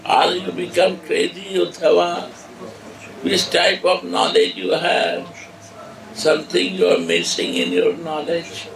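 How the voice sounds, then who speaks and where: A man playing a tearful, grieving mother of a divine child, pleading and reproachful in halting English. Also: high voice, creaky, fairly bright tone, full character voice. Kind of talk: devotional dramatic monologue. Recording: echoey room, distant mic, background noise.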